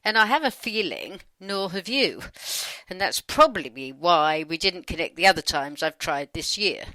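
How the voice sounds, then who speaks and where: A woman speaks in a bright voice that swings expressively up and down. She is wry and informal as she reads her questions in a channelled dialogue. The recording is close and dry. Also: lowish voice, sing-song, normal speed, no echo, rough recording. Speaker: channeller putting questions to spirit beings. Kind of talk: conversational reading of a channelled dialogue.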